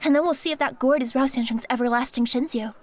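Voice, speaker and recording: A woman acts as a young singer working undercover in an audio drama. She sounds high-pitched, composed and quietly crafty. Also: quick pace, close to an everyday voice. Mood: matter-of-fact, scheming.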